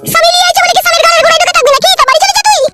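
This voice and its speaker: Piercingly high voice, nagging old village wife, shrill, bossy, comedy cartoon. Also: male voice, rapid-fire, music in the background. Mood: scolding. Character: bossy, scolding elderly widow, the uncle's wife.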